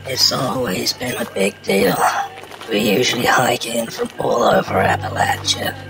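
A man's voice: medium pitch, measured pace, gravelly, music in the background. A man playing a matter-of-fact wasteland local in an audio drama. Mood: explanatory.

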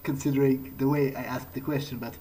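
A man's voice: mid-range voice, flat delivery, brisk, warm tone, slight background noise. A man doing in-character game commentary, musing aloud in a hesitant way. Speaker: gamer roleplaying a character.

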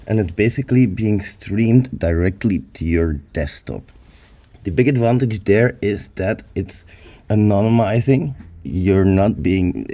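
A man with a hoarse voice from being sick, giving an upbeat, chatty tech review over a screen recording. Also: on the low side, warm tone. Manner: upbeat, chatty, addresses viewers as hackers. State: hoarse from illness. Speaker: YouTube security reviewer.

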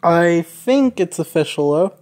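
Low-voiced woman, very slow, casual unboxing narration. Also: lively intonation, a little mellow. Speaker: unboxing video maker.

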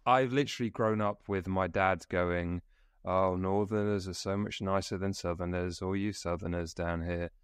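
Deep-voiced young man hosting a podcast. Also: fairly even intonation, normal speed, clean tone, no room sound, studio quality. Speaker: podcast host. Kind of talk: casual podcast interview. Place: small room lit by coloured lights. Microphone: video call.

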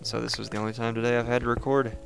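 A young man with a raspy voice, casually chatting and thinking aloud as he hosts a gameplay video. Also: mid-range voice, rapid-fire, music in the background. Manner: relaxed, chatty, thinking aloud while playing. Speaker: let's play host.

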